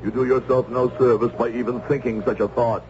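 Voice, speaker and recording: An actor playing a stern, righteous Puritan reverend in an old-time radio drama, speaking in formal, archaic, moralizing phrases. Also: male voice, medium pitch, level tone, a little fast, a little mellow, natural voice. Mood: stern, indignant. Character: stern zealous Puritan reverend, righteous witch-hunting preacher. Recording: muffled, noisy old radio broadcast.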